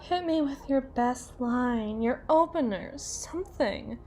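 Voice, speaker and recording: A high-voiced, haughty lady boss played by a voice actress, tipsy and melodramatic. She draws her words out slowly and theatrically in a flirty ASMR roleplay, with music playing behind her. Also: expressive, a little mellow. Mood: challenging, flirty. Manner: theatrical, drawn-out, playful wordplay, slipping into breathy whispers. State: acting tipsy.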